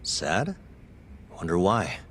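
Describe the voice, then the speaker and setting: A man's voice: sits low, very animated, slow pace, a bit bright, a little hiss or hum, heavily acted. An actor playing a laid-back, blunt male detective in a crime drama video game dub, speaking casually. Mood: puzzled. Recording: music behind the dialogue.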